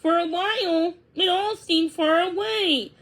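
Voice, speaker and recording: A woman's voice: very high voice, a little slow, a bit bright, voice pushed up. A woman voicing a timid, anxious young female dog in a storybook, with an emphatic, plaintive delivery. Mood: tearful, confessing.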